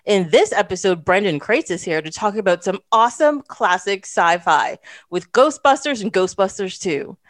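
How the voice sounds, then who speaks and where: A woman's voice: medium pitch, lively intonation, normal speed. A woman in her thirties from Canada, hosting a podcast and chatting casually, laughing often and using lots of fillers. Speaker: podcast host. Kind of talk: casual chat.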